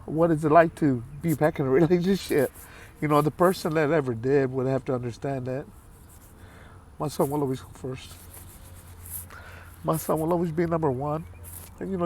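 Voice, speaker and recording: A man in his late fifties talking candidly to his viewers in a halting, heartfelt way, with many pauses and repeated phrases. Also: on the high side, a little mellow, a little hiss or hum. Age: 50s. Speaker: vlogger. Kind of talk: candid talk to camera.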